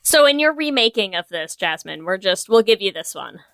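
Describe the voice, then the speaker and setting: A woman's voice: mid-range voice, big pitch swings, normal speed, a bit bright, smooth, no room sound. A woman hosting a podcast in casual film chat, with wry, teasing asides. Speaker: podcast host.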